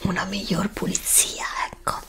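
A woman, softly whispering a skincare review for ASMR close to a desk mic. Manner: soft whispering, slow and hesitant. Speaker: ASMR creator. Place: home studio with green screen. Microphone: studio desk mic, close.

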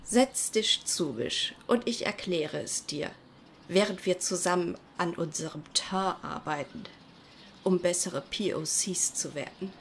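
A rather low female voice of an actress takes its time as a gushing, self-righteous activist in a parody audio play. She sounds affected and earnest, over faint background ambience. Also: unhurried, slight background noise. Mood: earnest, smug. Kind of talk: parody audio drama acting.

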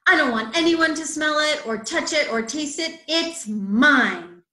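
A woman's voice: high-pitched, animated, measured pace, pushed and bright, pitched above the performer's own voice, exaggerated voice. Bully, a grumpy frog, as a woman puts him on. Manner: possessive, gruff and blunt. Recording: roomy echo.

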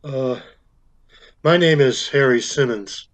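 An actor playing a timid, broken homeless man in a radio drama, speaking hesitantly in halting phrases. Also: medium pitch, lightly acted. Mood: weary gratitude.

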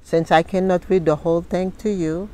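A woman in her sixties speaking in an earnest, deliberate and sometimes halting way, recorded outdoors. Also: on the low side, steady tone, mellow, dry recording. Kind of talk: personal testimonial and reading aloud from a book. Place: outdoors in a leafy garden.

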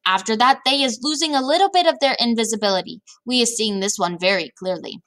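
A woman voicing a big, kindly, excitable male giant in a storybook, with exclamations, muddled grammar and invented words. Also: a little high, fast talker, lightly acted. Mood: earnest.

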